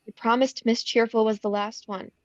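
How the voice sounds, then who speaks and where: A woman's voice: on the high side, level tone, moderate pace, natural voice. A woman acting an earnest pageant contestant, slightly muffled. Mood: defensive, pleading. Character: earnest, conflicted pageant contestant reassuring her fiancée. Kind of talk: screenplay table read acting.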